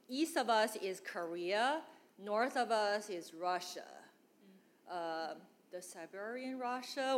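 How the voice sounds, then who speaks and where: A woman from northeast China with a Chinese accent, giving a personal church testimony haltingly, with many fillers and a runny nose from allergies. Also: on the high side. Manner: halting, frequent fillers, laughs between phrases.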